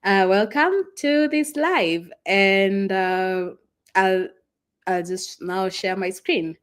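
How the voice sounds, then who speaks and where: A young Kenyan woman with a Kenyan accent, whose first language is Swahili, chatting casually and rambling with fillers and soft laughs as she hosts a travel livestream from a friend's house. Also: medium pitch, slow pace, clear, resonant tone. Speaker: travel vlogger hosting a livestream. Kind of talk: casual livestream chat with viewers.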